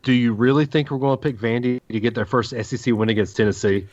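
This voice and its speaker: A man's voice: medium pitch, steady tone, quick pace, darkish tone. A man hosting a sports podcast with casual banter, moving the conversation along. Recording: dull lo-fi podcast audio.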